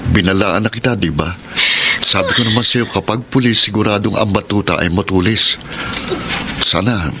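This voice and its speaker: A man's voice: on the low side, moderate pace, noisy background, lightly acted. An actor playing a lovelorn, devoted young man in a Filipino radio drama, speaking with earnest, poetic drama. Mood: concerned, reproachful. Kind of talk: Filipino radio drama acting with first-person narration. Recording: loud music bed under voice.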